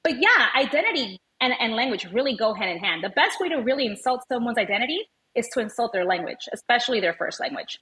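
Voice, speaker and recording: A woman with a bright, forceful voice pitched a little high. She is a native Spanish speaker raised in the United States, speaking as an interview guest. She explains through personal stories and voices short exchanges as she goes. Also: very animated, quick pace, few pauses. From born in Venezuela, raised in the United States. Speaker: podcast guest, language educator and advocate. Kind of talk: conversational interview storytelling.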